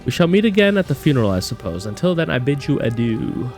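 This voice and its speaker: A proud general of a fantasy war , read aloud by a man. The delivery is formal and theatrical, with pitch that swings freely over faint music. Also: sing-song, quick pace, darkish tone. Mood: reproachful. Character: proud war general reading out lofty letters. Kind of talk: dramatic reading of in-game letters.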